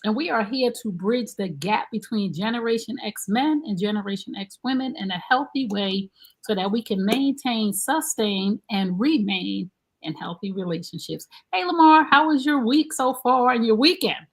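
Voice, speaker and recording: A woman, hosting a live-streamed relationship talk show in a chatty, animated way. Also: on the high side, normal speed, rough recording. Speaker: host.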